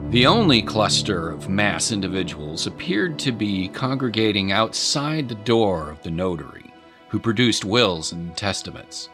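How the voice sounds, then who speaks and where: A man's voice: fairly low pitch, lively intonation, a little slow, music in the background. A man narrating a scripted story in a dramatic storytelling style.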